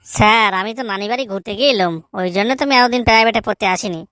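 A woman's voice: medium pitch, fast talker, fairly bright tone, lightly acted. A woman voicing a cheeky, crude village schoolboy in a comedy cartoon. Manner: cheeky, blunt excuse-making.

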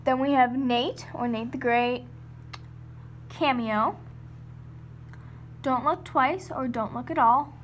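A woman with a high, light voice casually leads a tour of her model horse barn. She strings the names together one after another with "and then". It sounds like a phone recording in a room at home, with a faint hiss underneath. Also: slow, pauses often. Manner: lists names in a steady run of "and then". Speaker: model horse hobby vlogger. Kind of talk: casual guided tour narration.

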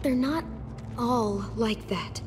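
An actress playing a hardened teenage girl survivor in a video game, terse and guarded, often hushed. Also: a little high, slow. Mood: pleading. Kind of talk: naturalistic video game acting. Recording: background music under the voice.

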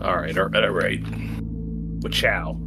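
A man speaks at a slow pace as a player in a tabletop game. His talk is casual banter, with music clearly under it. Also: low voice. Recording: music behind the voice.

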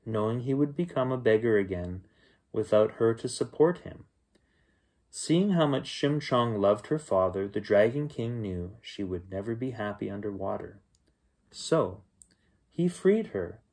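A middle-aged man reads a children's bedtime story aloud as its host, with expressive storytelling. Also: fairly low pitch, slightly rough. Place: small bedroom at home, indoors.

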